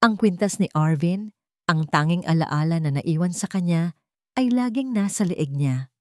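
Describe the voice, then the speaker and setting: A woman's voice: fairly low pitch, lively intonation, normal speed, darkish tone, dry recording, clean studio sound. A woman reading a dramatic romance story in an emotive, melodramatic way. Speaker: story narrator.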